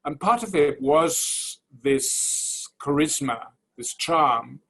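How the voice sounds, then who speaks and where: A man giving a reflective spoken tribute in measured, articulate phrases. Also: on the high side, expressive, a bit bright, breathy edge.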